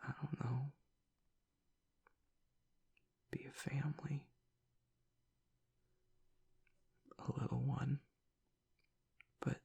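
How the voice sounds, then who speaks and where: A man acts out a young male werewolf opening up in an intimate audio drama, his tough front giving way to grief. His voice is very creaky and breathy, often sinking toward a whisper. He speaks in a narrow, subdued range, hesitating and choked up as he confides. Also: medium pitch, a little mellow, heavily acted. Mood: tender, nostalgic. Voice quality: breathy, gravelly near-whisper. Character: grieving young male werewolf boyfriend, tough but vulnerable. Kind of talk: intimate audio roleplay monologue.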